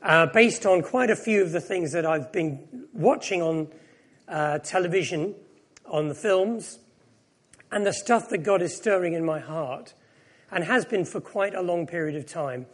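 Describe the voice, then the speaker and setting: A man's voice: high voice, expressive, measured pace, husky. A middle-aged man whose first language was Japanese, preaching conversationally to a church audience with self-mocking asides. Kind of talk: church talk with audience responses.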